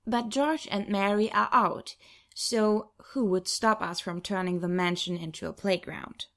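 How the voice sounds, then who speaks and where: Audiobook narrator, woman, average pitch, expressive first-person storytelling from a script. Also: measured pace. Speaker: audiobook reader.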